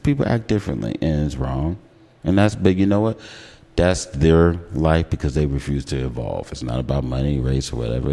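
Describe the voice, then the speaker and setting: Very deep-voiced American man hosting radio talk. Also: warm tone. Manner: enunciates words carefully. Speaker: radio talk show host. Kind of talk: casual opinionated radio talk.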